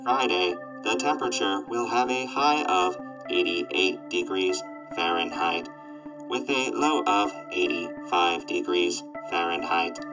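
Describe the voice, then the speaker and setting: A man voicing a gloomy, glitch-prone robot weather announcer with a flat, mechanical, formal delivery in a radio drama. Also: low voice, takes it slowly, over music, rough recording. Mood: monotone, glitching.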